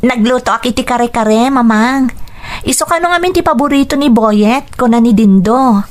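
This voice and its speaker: An actress with an Ilocano accent playing a gentle, anxious young fiancee in a Philippine radio drama. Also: female voice, a little high, moderate pace, lightly acted. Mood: eager, respectful. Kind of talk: Philippine radio drama acting and first-person narration.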